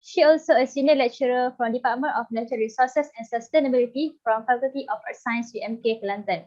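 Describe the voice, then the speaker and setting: A woman's voice: a little high, quick pace, darkish tone. A woman moderating an online webinar, reading out speaker introductions in an upbeat way. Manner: upbeat, reads speaker bios. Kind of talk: formal introductions. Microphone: video call. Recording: lo-fi video call audio.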